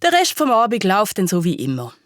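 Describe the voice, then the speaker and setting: A woman's voice: medium pitch, wildly swooping pitch, quick pace, soft background music. A woman with a Swiss German accent narrating a children's story dramatically.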